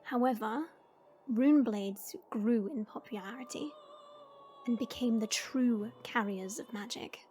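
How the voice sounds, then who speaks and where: Woman playing a high-voiced, stern, proud warrior woman. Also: warm tone, faint music behind, natural voice. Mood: calm, instructive. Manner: measured, formal, dry delivery. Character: stern, proud warrior woman Iron, blunt but caring. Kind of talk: fantasy audio roleplay acting.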